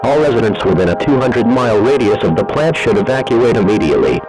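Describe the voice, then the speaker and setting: A man reading a scripted emergency evacuation announcement in a formal, measured, automated-sounding manner. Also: mid-range voice, big pitch swings, over loud music, poor audio.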